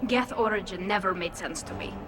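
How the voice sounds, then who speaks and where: Game role: earnest young alien woman engineer , played by an actress, medium pitch, measured and wary, noisy ambience. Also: lightly acted. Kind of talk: video game acting.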